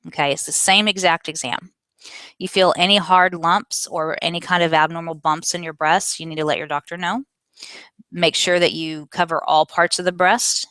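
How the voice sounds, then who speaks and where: A woman's voice: on the low side, steady tone, normal speed, pushed and bright, clear, resonant tone, no room sound. A woman in her forties answering viewer questions in a casual, candid and explanatory way, often correcting herself as she goes. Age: 40s.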